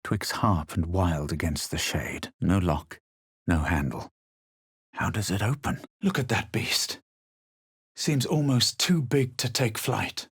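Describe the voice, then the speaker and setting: A haughty male adventurer from a fantasy video game. His voice sits low and slightly raspy, with a clipped, dramatic air of superiority. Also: slow pace, no echo, exaggerated voice. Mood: haughty, wary. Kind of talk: video game voice lines and narration.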